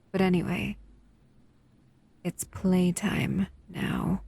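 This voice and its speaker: A woman voicing a bored, predatory female humanoid monster who talks in a slow, teasing, condescending way in an audio roleplay. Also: lowish voice, creaky, dark tone, breathy edge, no echo, full character voice. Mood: toying.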